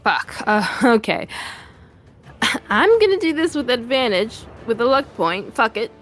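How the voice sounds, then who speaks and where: A woman's voice: a little high, a little slow, soft background music. A woman playing a tabletop game, describing her character's moves and laughing often. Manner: lively, laughing, swears freely. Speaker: player.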